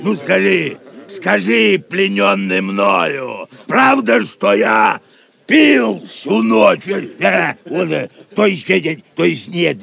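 Boisterous tipsy friar, radio drama: high voice, swinging widely, swaggering bellow. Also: male voice, very animated, takes it slowly, creaky, fairly bright tone, full character voice. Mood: blustering, tipsy. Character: boisterous tipsy friar of a merry outlaw band. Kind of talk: audio drama acting.

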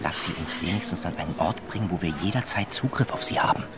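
Low-voiced, stern, skeptical lawman played by an actor. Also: fast, a crowd in the background, close to an everyday voice. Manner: skeptical, insistent. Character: stern male law officer, skeptical and by-the-book. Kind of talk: radio play dialogue.

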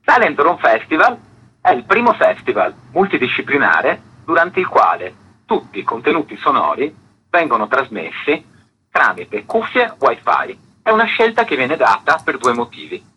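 Male arts director as radio guest, very raspy voice with lively intonation, explanatory delivery. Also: medium pitch, rough recording. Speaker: festival artistic director, interview guest.

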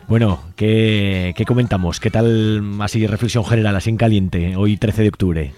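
A man's voice: lowish voice, fairly even intonation, moderate pace, dark tone, soft background music. A man hosting a radio show in an informal, chatty, colloquial way. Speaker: radio host. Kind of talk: casual radio presenting. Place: radio studio.

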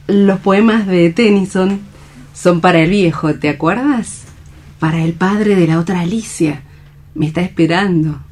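A slightly low voice of a devoted young woman in radio theatre. She speaks earnestly and tenderly, with lyrical feeling in her phrasing. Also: a little slow, darkish tone. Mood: gentle. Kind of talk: radio theatre dramatic reading.

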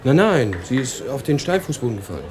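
An actor playing a polite, reserved writer in a radio play, speaking casually and briefly. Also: male voice, medium pitch, big pitch swings, fast, a crowd in the background, natural voice. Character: polite, reserved male American writer abroad. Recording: clear background ambience noise.

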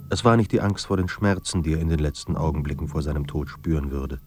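Low, somber male storyteller. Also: a little mellow. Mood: somber, restrained. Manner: grave, measured, literary. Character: somber man narrating a despairing writer's life. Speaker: narrator. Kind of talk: literary radio drama narration.

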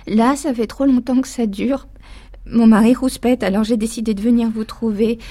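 A woman's voice: on the high side, fairly even intonation, moderate pace, warm tone, close to an everyday voice. An actress playing a middle-aged rural woman patient, timid and apologetic, hesitating with fillers in a radio drama. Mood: hesitant.

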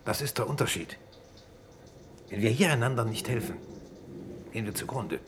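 An actor playing a calm, resolute exiled doctor in a 1960s radio drama, with a measured, matter-of-fact delivery. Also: male voice, mid-range voice, natural voice. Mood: grave. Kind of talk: 1960s German-language radio drama acting. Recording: noisy old radio drama recording.

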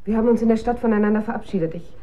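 A woman's voice: on the high side, rapid-fire, mellow, close to an everyday voice. A woman playing an anxious, questioning colleague of a scientist in a radio drama, uneasy and searching. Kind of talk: German radio drama acting.

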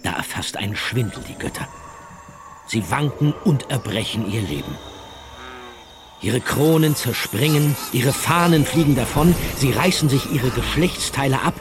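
A man's voice: medium pitch, animated, unhurried. A man delivering scripted, dramatic literary narration in a radio drama.

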